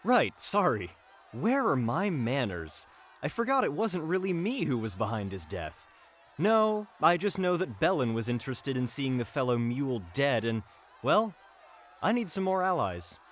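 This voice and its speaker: Man acting a theatrical mocking villain, wildly animated pitch. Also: normal speed. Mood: smug mockery. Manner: taunting, drawling then barking orders. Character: mocking, theatrical young male villain commanding brutish henchmen. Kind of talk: fantasy audio drama acting.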